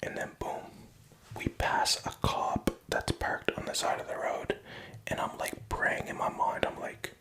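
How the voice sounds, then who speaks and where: A man's voice: a little slow, a bit bright. A young man whispering a rambling personal story, profane and self-mocking.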